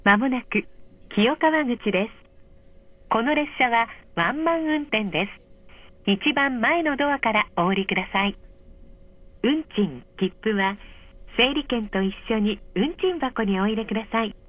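Female train announcer, higher register, polite measured delivery, slightly lo-fi onboard speaker sound. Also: animated. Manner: polite, measured, clearly enunciated. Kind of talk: scripted automated train announcement. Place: played over train car speakers. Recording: dull, muffled train speaker recording.

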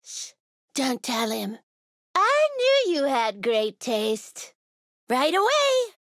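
Sweet female game monster, extremely high voice, animated pitch, eager, gushing, expressive. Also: slow, no room sound, clean studio sound, exaggerated voice. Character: bubbly, sweet, eager female one-eyed monster racer. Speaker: video game voice actor. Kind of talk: video game character voice clips.

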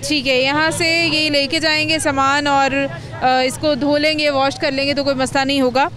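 A woman's voice: high-pitched, flat delivery, moderate pace, fairly bright tone. A young Pakistani woman working as a TV field reporter, interviewing people on the street into a handheld microphone. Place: crowded outdoor street market. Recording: busy outdoor market crowd noise.

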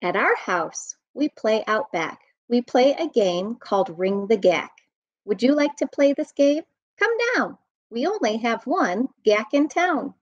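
An American woman, around her thirties or forties, reading a rhyming children's book aloud in an expressive, sing-song way. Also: a little high, unhurried, no room sound. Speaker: children's storytime reader. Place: room at home. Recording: dull, muffled webcam audio.

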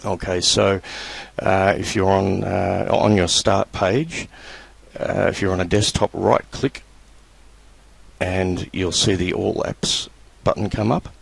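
A man presenting a screen-recorded computer tutorial, talking in a relaxed, halting way with many fillers. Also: deep voice, gravelly, breathy edge, no echo.

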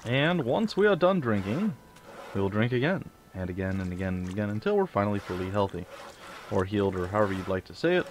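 A man's voice: fairly low pitch, big pitch swings, quick pace, slight background noise. A man with a stuffy, sniffly nose hosting a casual game let's play, rambling with joking asides. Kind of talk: casual gameplay commentary.

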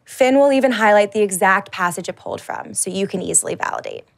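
A woman in her thirties presents a product in a scripted marketing style. Her voice sits at a middle pitch. She enunciates clearly, with a polished and upbeat delivery. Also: lively intonation, fast. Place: large dark indoor studio stage.

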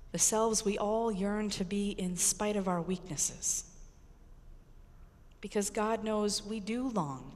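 A middle-aged American woman priest giving an earnest, emphatic sermon with deliberate pauses and repetition. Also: mid-range voice. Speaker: Episcopal priest preaching. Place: large church with congregation, indoors. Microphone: pulpit microphone. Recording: echoey church hall reverb.

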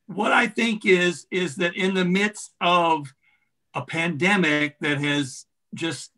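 A middle-aged man speaks earnestly on a video call in a bright, forceful voice on the higher side. He takes his time, leaning on key words as he reflects. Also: low-quality recording. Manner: earnest, emphatic. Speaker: theatre director. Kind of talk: reflective closing remarks. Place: room indoors on a video call. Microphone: video call computer mic.

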